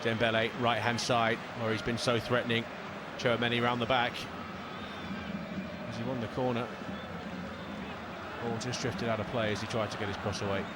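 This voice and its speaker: This is a man working as a football commentator, calling a match live, play by play. His voice sits at an average male pitch. Loud noise runs behind everything he says. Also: little pitch movement, moderate pace, pauses often.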